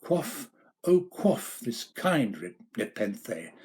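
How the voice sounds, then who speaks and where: A man's voice: mid-range voice, very animated, drawn-out words, darkish tone, husky, full character voice. A man with an English accent playing a grief-stricken, tormented narrator in an anguished, theatrical recitation of a Gothic poem. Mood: anguished, pleading. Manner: anguished, impassioned, declamatory. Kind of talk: dramatic theatrical poetry recitation.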